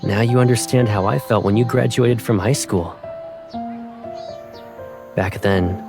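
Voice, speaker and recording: A woman's voice: extremely low pitch, a little slow. A woman voicing a teasing, protective young man, an affectionate love interest in a romance game fan dub. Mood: tender. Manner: intimate, teasing, probing. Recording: music behind the voice. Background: music.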